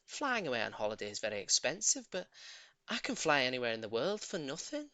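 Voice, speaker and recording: A man voicing a grand, self-assured travelling bird in a children's audiobook, speaking in an unhurried way. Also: medium pitch, wildly swooping pitch, bright, forceful tone, dry recording, heavily acted. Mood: breezy, grand.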